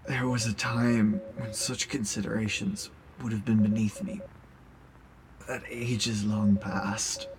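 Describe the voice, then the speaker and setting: A man playing an ancient, once-mighty cosmic being, now weakened, delivering a solemn, cryptic audio drama monologue in measured phrases. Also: on the low side, slight background noise, rough recording. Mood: grave, cryptic. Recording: music behind the voice.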